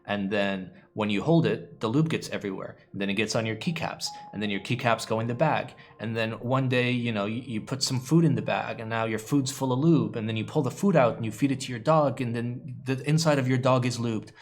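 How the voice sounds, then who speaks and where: A man's voice: medium pitch, brisk, hardly any pauses. A man in young adulthood, casually chatting with his live-stream viewers, rambling and swearing freely with playful groans. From United States. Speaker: keyboard streamer.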